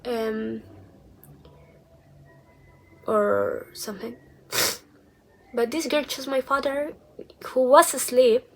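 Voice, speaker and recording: Brief: young woman vlogger, slightly high voice, slow and halting, whispered asides, faint room ambience behind. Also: slight background noise, rough recording. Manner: hesitant, with many restarts and soft whispered asides. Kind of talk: casual storytelling to camera.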